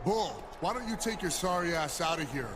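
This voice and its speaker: A jealous wrestler dude in a game, threatening, highish voice, noisy recording. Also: male voice, very animated, normal speed. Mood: jealous, confrontational. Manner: confrontational, taunting. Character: hot-tempered, jealous, threatening male pro wrestler. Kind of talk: video game cutscene acting.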